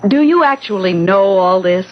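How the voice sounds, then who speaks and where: An American actress playing a worried, trusting wife in a 1970s radio drama, hesitant and questioning. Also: female voice, medium pitch, lively intonation, moderate pace, close to an everyday voice. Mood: doubtful. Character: worried, trusting young wife drawn into a plot. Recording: dull, lo-fi old radio broadcast.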